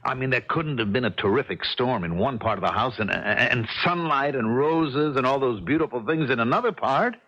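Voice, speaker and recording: An actor playing an earnest, astonished ordinary American man in a 1970s radio drama, halting and emphatic as he searches for words. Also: mid-range voice, lively intonation, a bit bright. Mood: amazed. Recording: muffled old 1970s radio recording.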